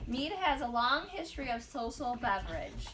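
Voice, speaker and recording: A woman co-hosting a casual podcast, reading a short text aloud in a halting way. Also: on the high side, very animated, fairly bright tone. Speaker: podcast co-host. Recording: roomy, distant mic.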